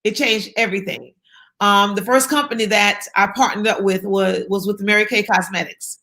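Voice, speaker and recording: A woman's voice: mid-range voice, steady tone, normal speed, pushed and bright. A woman from South Carolina, speaking as an interview guest in an emphatic, encouraging way and repeating her key phrases for effect. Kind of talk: motivational interview talk.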